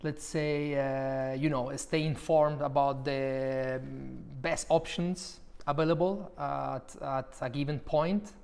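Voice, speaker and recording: A young man from Málaga speaks English with a Spanish accent, his voice sitting at an ordinary middle pitch. He answers questions in a relaxed, considered way, often pausing with "uh" while he finds his words. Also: creaky. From Málaga, Spain. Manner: thoughtful, with frequent "uh" pauses. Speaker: property specialist at an agency.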